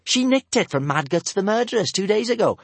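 Man playing a cocky young girl, high and excitable. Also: a little fast, no echo. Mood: explaining. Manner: breezy, excitable. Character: bold breezy young girl burglar.

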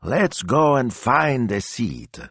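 A man voicing an excitable, pious elderly Italian church lady in an audiobook story. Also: medium pitch, slow pace, husky, no echo, pitched above the performer's own voice, heavily acted. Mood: bossy, scolding.

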